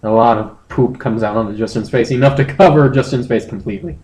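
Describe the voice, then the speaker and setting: A man's voice: mid-range voice, fast talker, a little mellow, roomy sound. A man giving a comedic, mock-serious dramatic reading of a story as a podcast narrator, sounding amused.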